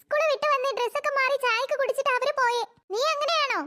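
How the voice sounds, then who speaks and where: A performer voicing a stern, nagging cartoon mother who scolds children, in a pitched-up voice. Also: fairly even intonation, quick pace, sharp tone. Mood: scolding. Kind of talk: cartoon voice acting.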